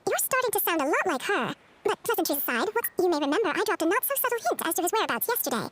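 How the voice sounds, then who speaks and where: A woman's voice: extremely high pitch, very animated, very fast, slight background noise, heavily acted. Sly, teasing, poised woman. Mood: teasing, knowing. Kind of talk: video game character dialogue. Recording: loud game music behind voice.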